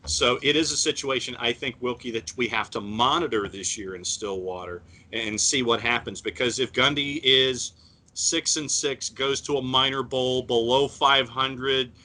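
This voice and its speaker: A man co-hosting a sports podcast, talking in a measured way with many fillers and restarts. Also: mid-range voice, pushed and bright. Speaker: podcast co-host. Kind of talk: casual sports talk.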